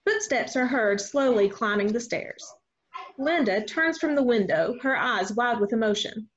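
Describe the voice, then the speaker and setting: A woman with a slightly high voice reads stage directions in a scripted narration style. The audio is dull and lo-fi, like a video call. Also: lively intonation, moderate pace.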